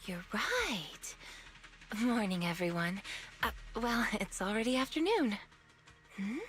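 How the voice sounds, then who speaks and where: A woman voicing a gentle, hesitant, apologetic young woman in a video game. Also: a little high.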